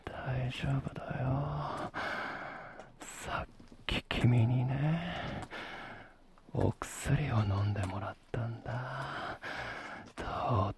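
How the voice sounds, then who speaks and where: A male actor playing an obsessive young stalker in an audio drama, coaxing softly in a breathy whisper, very close to the microphone. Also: medium pitch, very noisy. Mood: teasing, soothing. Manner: slow, coaxing, soft-spoken.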